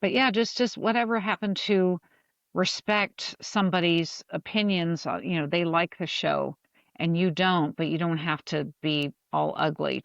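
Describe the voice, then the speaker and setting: Lady on a podcast, lots of creak in her voice, plain talk, stumbles a bit, muffled audio. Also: low voice, no room sound. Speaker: podcast co-host. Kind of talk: casual panel chat.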